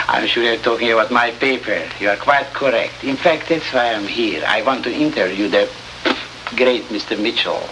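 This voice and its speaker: A man's voice: medium pitch, moderate pace, natural voice. Man playing a scornful, menacing Latin American newspaper editor. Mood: mocking. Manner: sarcastic, grandiloquent. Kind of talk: 1950s radio drama acting. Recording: noisy old radio broadcast recording.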